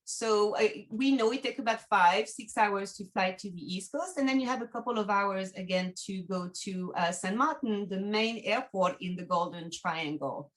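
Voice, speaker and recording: A woman's voice: medium pitch, normal speed, few pauses, poor audio. French-accented woman presenting a travel podcast. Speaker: podcast host, travel company team manager. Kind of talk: prepared video podcast presentation.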